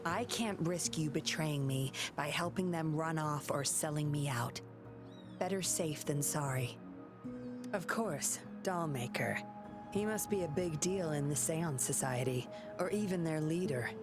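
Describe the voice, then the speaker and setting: Sly, low-voiced female undercover investigator. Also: measured pace. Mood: serious, businesslike. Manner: coy, composed, teasing. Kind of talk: scripted video game voice acting. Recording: music under the voice.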